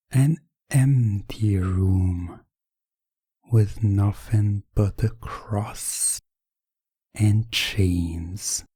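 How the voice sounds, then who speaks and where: A man narrating a hypnotic ASMR roleplay in a hushed, deliberate manner, often dropping toward a whisper. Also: fairly low pitch, husky, no room sound.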